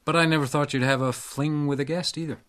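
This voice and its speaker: An actor in a radio drama playing a shrewd, composed hotel house detective, speaking and narrating in a measured, wry way. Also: male voice, a little high, fairly even intonation, a bit bright, natural voice.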